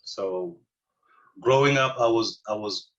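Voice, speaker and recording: Senegalese guy in an interview, low voice, halting with lots of uhs, kinda muffled lo-fi sound. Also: fairly bright tone. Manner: hesitant, many fillers and restarts. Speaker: interview guest. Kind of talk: casual interview.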